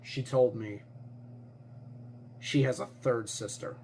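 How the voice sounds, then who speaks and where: A man playing an earnest eyewitness who tells a grave disaster theory in an insistent, suspenseful way with dramatic pauses. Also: mid-range voice, fairly even intonation, a little mellow, a little hiss or hum. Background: music behind the voice.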